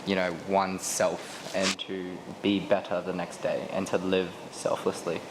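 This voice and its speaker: Deep-voiced man, fluent and chatty. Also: monotone, measured pace, background noise. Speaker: brand business partner. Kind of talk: casual interview answers.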